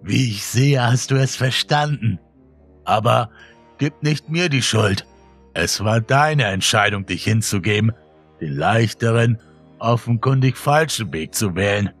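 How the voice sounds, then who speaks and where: A man voicing a sinister, smiling dealer of happiness, with a sweetly polite, slow and menacing manner in a horror story. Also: lowish voice, expressive, slightly rough, faint music behind. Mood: coldly smug.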